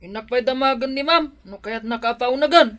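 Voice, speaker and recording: Simple, bashful household helper for radio drama, hesitant and good-hearted. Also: male voice, extremely high pitch, fairly quick, fairly bright tone. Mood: stubborn, puzzled. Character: shy, simple-minded, good-hearted male servant. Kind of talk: Filipino radio drama acting.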